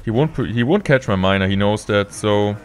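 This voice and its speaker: A young man streaming gameplay from a room indoors, talking through each move in steady play-by-play with excited bursts at big plays, a little sick. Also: lowish voice, fairly quick. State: slightly sick. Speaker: game streamer. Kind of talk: live gameplay commentary.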